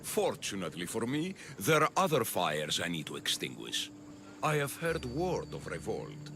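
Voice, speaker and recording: A man's voice: fairly low pitch, wildly swooping pitch, moderate pace, creaky, bright, forceful tone, a little hiss or hum, heavily acted. A man playing a smug, power-hungry politician of ancient Athens in a video game, speaking in grandiose oratory. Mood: smug, scheming. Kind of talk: cinematic video game acting.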